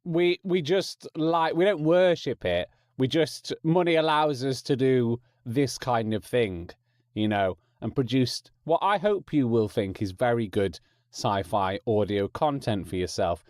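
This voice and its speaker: Man, podcast host, mid-pitched voice, dry studio-like sound, wry and self-deprecating, scripted with rambling asides. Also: unhurried, darkish tone, dry recording. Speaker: podcast host and audio producer.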